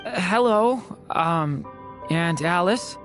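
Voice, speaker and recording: A performer playing a gentle, earnest young dragon boy in human form for a video game, polite, hesitant and apologetic. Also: medium pitch, lively intonation, slow, over loud music. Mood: shy, surprised. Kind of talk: video game character acting.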